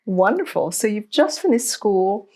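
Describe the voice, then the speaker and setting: A woman hosts a podcast, asking questions in an encouraging way. She backs up her guest with short replies such as "wonderful" and "absolutely". Her pitch sits in the middle for a woman. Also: big pitch swings, measured pace, mellow. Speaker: podcast host. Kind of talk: interviewing.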